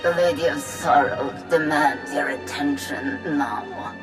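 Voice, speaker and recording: An actor playing a cold, imperious envoy in a video game, speaking formally with measured threat over music. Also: male voice, a little high. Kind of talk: scripted video game cutscene acting. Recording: dramatic music behind.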